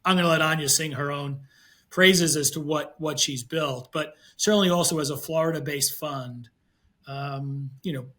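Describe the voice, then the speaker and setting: Higher-voiced man, halting interview guest. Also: steady tone, pushed and bright. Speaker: guest, venture investor. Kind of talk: conversational interview answer.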